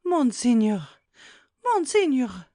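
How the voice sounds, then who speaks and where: A woman's voice: high voice, very animated, dark tone, dry recording, studio quality, full character voice. A woman playing a fretful, exclamatory elderly housekeeper in a dramatised classic audiobook. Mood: anxious. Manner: exclamatory, gasping, drawn-out lamenting.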